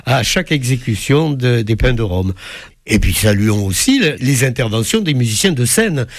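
Man, medium pitch, dry sound, music critic, enthusiastic concert review, effusive, literary phrasing. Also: sing-song, moderate pace, no echo.

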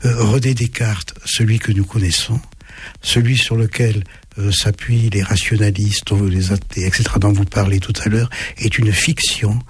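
A man in his seventies, a German native speaker raised in southwest France, answering as a guest author on a radio interview in a halting way with many restarts. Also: lowish voice, steady tone, husky. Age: 70s.

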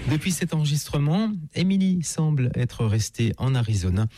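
A man's voice: a little high, sing-song, moderate pace. Male travel radio host over music. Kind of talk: conversational radio interviewing and presenting.

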